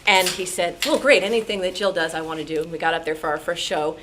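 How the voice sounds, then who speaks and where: A woman with a slightly low voice tells personal stories to an audience. She is from New York City and animated, slipping into remembered dialogue as she goes. There is faint noise from the meeting room around her. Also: quick pace. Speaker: radio drama actress and sound effects performer, guest speaker. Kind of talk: personal anecdotes told to a club audience. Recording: noisy room at a club meeting.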